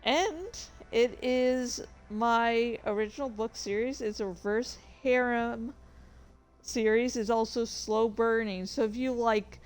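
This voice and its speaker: A woman streamer chatting casually, rambling and interrupting herself with playful excitement. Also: a little high, slow pace, clean tone, faint music behind. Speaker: streamer and author. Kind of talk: casual livestream chat.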